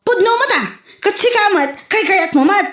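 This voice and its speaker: A woman's voice: very high voice, lively intonation, normal speed, fairly bright tone, reverberant. A woman with an Ilocano accent playing a jealous, suspicious wife who keeps questioning her husband. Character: jealous nagging wife, watchful, shrill. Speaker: actor in a comedy skit. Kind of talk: comedy skit acting.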